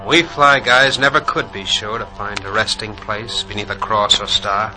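A man's voice: lowish voice, fairly even intonation, normal speed, close to an everyday voice, poor audio. Man playing a proud haunted ww2 flyer, earnest old radio drama verse, music underneath. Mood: grave, haunted. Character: wounded surviving airman, proud, haunted, remembering the dead. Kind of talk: 1940s radio drama, poetic monologue. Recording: old 1940s radio broadcast recording. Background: musical score.

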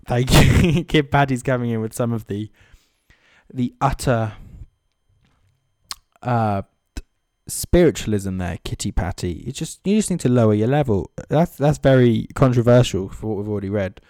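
A man's voice: mid-range voice, lively intonation, normal speed, mellow, no room sound, clean studio sound. A man giving casual, off-the-cuff comedic commentary as a host, with sarcastic, mocking asides. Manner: sweary. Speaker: comedy host reading social media comments.

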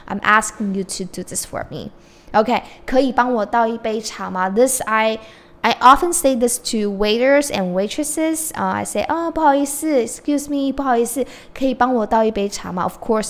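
Woman teaching Chinese phrases. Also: medium pitch. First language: Mandarin Chinese. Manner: switches between English explanation and slow modeled Chinese phrases. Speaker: Chinese teacher and podcast host. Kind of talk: casual language lesson.

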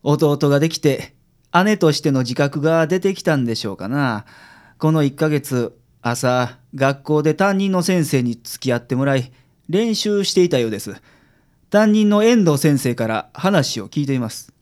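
A man with a Kansai accent acting a confident, frank private detective in a radio drama, explaining things in a measured way. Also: a little high, expressive, darkish tone, lightly acted. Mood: calm, formal. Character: confident, frank male private detective reporting calmly to a client. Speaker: amateur radio drama actor.

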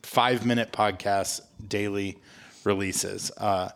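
Male podcast co-host, lowish voice, loose and jokey scripture recap, happy to poke fun at himself. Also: fairly even intonation, slow pace, gravelly, a bit bright. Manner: jokey, self-mocking, informal phrasing. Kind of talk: casual scripture recap chat.

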